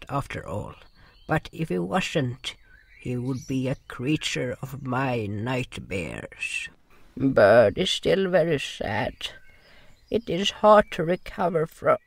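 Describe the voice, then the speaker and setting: A man playing a gentle, sorrowful creature who narrates an audio drama in a deliberate, solemn way. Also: mid-range voice, gravelly, exaggerated voice. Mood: somber. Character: gentle, sorrowful non-human narrator River, caring companion.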